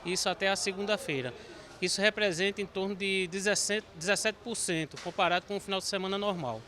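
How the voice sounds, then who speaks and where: A man's voice: sits high, fast, sharp tone. A man interviewed outdoors for the news, explaining procedures and urging the public to act early. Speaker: bus terminal official.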